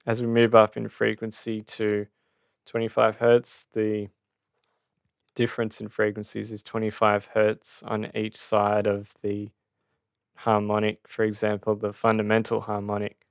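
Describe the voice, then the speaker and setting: A man giving an unscripted technical tutorial, halting as he thinks aloud. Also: on the low side, monotone, creaky, smooth, dry recording, studio quality. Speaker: audio tutorial presenter.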